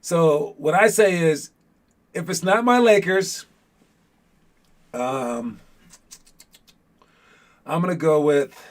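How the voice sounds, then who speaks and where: A man's voice: on the high side, animated, takes it slowly, pauses often. A man hosting a basketball show as casual chat with viewers, talking in an informal, rambling way with playful teasing.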